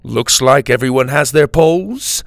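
A man voicing a round, hearty hunt leader who cheers others on in a booming voice. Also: lively intonation, unhurried, creaky, no echo.

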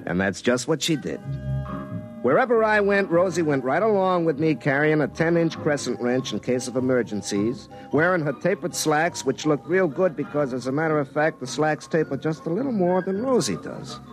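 Excitable, hapless young telephone lineman. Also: a little high, moderate pace, rough recording. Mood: rueful storytelling. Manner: folksy, excitable, self-dramatizing. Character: hapless, earnest young telephone lineman telling his own story. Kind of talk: first-person comic radio drama narration and dialogue. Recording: classic radio show with music underneath.

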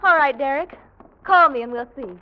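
Woman playing playful young ingenue, high pitched, teasing, old-time radio acting, dull recording. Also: moderate pace, close to an everyday voice. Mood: teasing, coy.

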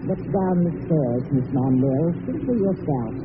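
An actor playing a calm, skeptical male companion in a vintage radio drama, speaking in a firm, matter-of-fact way. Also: on the high side, measured pace. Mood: reassuring. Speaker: supporting character. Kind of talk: 1940s radio acting. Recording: hissy lo-fi old radio recording.

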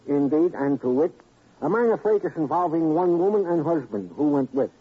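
An actor playing an eager, comical police sidekick in a radio drama, speaking in a pompous, mock-formal way. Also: male voice, on the high side, animated, normal speed, husky. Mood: officious. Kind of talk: 1950s radio comic character acting. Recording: muffled old radio recording, faint noise.

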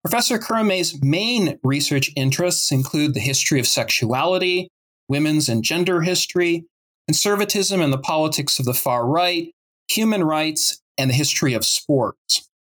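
A man's voice: on the high side, animated, moderate pace, fairly bright tone, poor audio. A man hosting an interview podcast, moving between prepared introductions and conversational questions. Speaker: podcast host.

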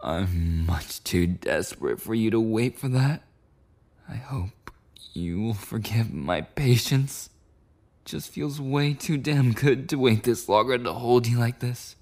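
A man's voice: fairly low pitch, takes it slowly, a little mellow. A man playing a clingy, possessive yandere boyfriend in an intimate ASMR roleplay monologue, rambling and cooing tenderly with lapses into whispers. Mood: infatuated, eager.

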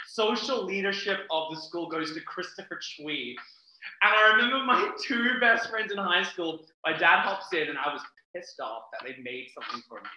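Australian male podcast guest; sharp, emphatic casual talker with repetition for effect; strong room echo. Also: sits high, animated, moderate pace. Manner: theatrical, emphatic, repeats words for effect. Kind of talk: casual chat, animated personal storytelling.

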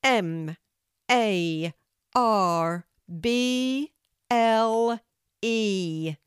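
A woman's voice: on the low side, lively intonation, unhurried, darkish tone, no echo. Lady doing a little girl for a kids story, eager but bit unsure, careful, really dry audio. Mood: careful, loud. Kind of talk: children's storybook character voice.

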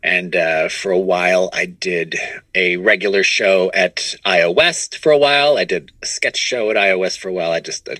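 A middle-aged man with a fairly low yet bright, forceful voice, recorded dry. He speaks as an interview guest, recounting things in a loose, conversational storytelling style. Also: moderate pace, no room sound. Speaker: interview guest, comedy writer and voice performer.

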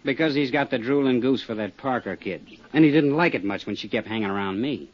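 Actor as husky, wisecracking roadhouse hustler, American. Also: male voice, mid-range voice, a little fast, lightly acted. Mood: exasperated. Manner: slangy, streetwise, defensive. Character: tough, cagey small-town roadhouse owner, wisecracking hustler. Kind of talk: 1950s radio drama acting. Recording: dull 1950s radio recording.

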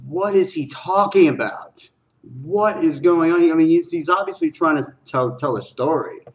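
A man's voice: a little high, normal speed. Man reacting to music, repeating himself. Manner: repeats phrases emphatically, slangy. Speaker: reaction video host. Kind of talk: casual music reaction commentary.

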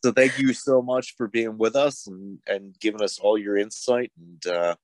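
A man's voice: lowish voice, steady tone, moderate pace, dry recording. A man co-hosting a podcast, congested, thanking the guest and listeners with dry humor. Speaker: podcast co-host. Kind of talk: casual podcast chat, sign-off.

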